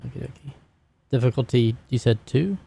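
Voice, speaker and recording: A man's voice: mid-range voice, brisk, vocal fry, mellow, breathy edge. A man talking casually as a player in a tabletop game, describing moves and checking rolls.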